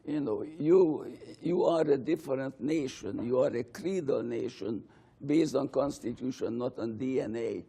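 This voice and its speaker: A man's voice: mid-range voice, a little slow, gravelly, dark tone, breathy edge. A man, explaining to Americans in an emphatic, lecturing way. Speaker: panelist. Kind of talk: earnest explanation.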